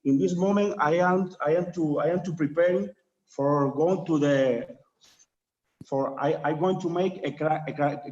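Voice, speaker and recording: A young Cuban man with a Spanish accent asks a question on a webinar, halting and repeating his words as he searches for English phrasing. His voice is fairly high. The call audio is dull and lo-fi. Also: a little mellow. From Cuba. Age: young adult. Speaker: neurosurgeon asking a question. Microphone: video call.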